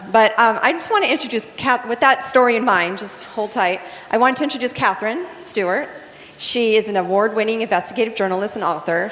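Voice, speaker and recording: A woman's voice: mid-range voice, a bit bright, slight background noise. A woman casually introducing panelists at a church event, chatty and informal with frequent ums. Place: church hall. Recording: echoey hall with a faint audience.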